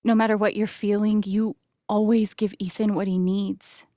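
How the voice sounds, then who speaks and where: A woman voicing an earnest, gentle young game heroine with a wry playful side, in a casual, conversational way. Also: medium pitch, flat delivery, normal speed, no room sound, studio quality, lightly acted. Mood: earnest, comforting. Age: young adult. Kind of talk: naturalistic video game voice acting.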